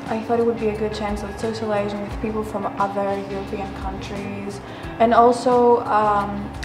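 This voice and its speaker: A teenage girl from Greece, a student participant, answering casually with hesitant pauses. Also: mid-range voice, little pitch movement, roomy sound, loud music behind the voice, rough recording. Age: teens.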